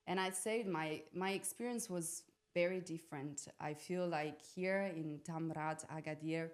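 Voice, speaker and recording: Young woman, from Italy, slightly low pitch, podcast guest, casual personal reflection, informal, searching for words. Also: unhurried. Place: podcast studio.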